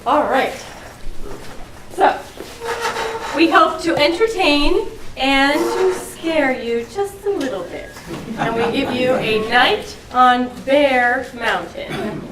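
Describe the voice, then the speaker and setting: Female event organizer, high voice, friendly and informal, heard from a distance in an echoey room. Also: lively intonation, slow. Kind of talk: informal address to a group. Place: meeting room, away from the mic. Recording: distant, echoey, slightly noisy meeting room.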